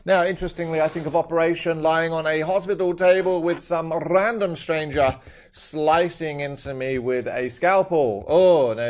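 A British-accented man in his fifties talks through a maths lesson in a chatty, joking way. His voice sits a little high for a man. His British accent has started to drift toward Australian. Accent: British, shifting toward Australian. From Britain. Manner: jokey, chatty asides to viewers. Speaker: maths teacher. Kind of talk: screen-recorded maths lesson.

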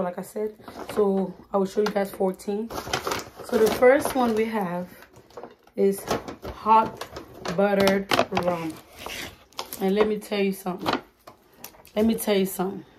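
A young woman vlogging casually into a handheld phone camera, chatty and animated, filmed in a car at night and later at home. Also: medium pitch. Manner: chatty and animated, lots of "like" and "you guys". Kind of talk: casual vlog chat. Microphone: phone camera held close. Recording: noisy phone recording with car noise.

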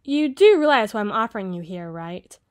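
A woman's voice: medium pitch, expressive, lightly acted. A woman playing a rich, possessive young heiress who is condescending and doting, with a haughty, teasing manner, in an ASMR roleplay. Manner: haughty, teasing, drawn-out words. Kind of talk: ASMR roleplay, scripted monologue.

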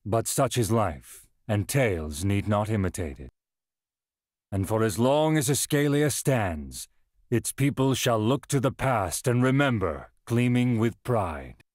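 An actor playing a solemn storyteller narrating a video game epilogue, grand and measured with wry touches. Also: male voice, fairly low pitch, lively intonation, a little mellow, dry recording, studio quality. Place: dry studio.

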